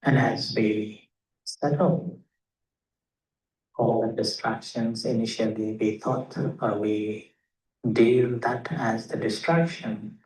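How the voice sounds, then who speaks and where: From across an echoey room, a man leads a guided meditation. His delivery is slow and measured, with pauses between short phrases. He sounds like a teacher giving step-by-step instruction. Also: lowish voice, steady tone, darkish tone. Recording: roomy echo, distant microphone.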